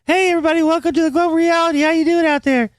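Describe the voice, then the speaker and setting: A man imitating an eager, inexperienced young radio host with a squeaky, helium-high voice. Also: fairly even intonation, racing, dry recording, clean studio sound. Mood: hyped. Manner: helium-high, overexcited greeting.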